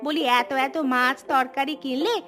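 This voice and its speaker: A high-pitched, nagging village housewife from a cartoon, played by a woman. She is thrifty yet soft-hearted and exclaims a lot, over clearly audible music. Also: steady tone, moderate pace, natural voice. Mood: scolding. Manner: scolding, exclamatory. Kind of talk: cartoon voice acting.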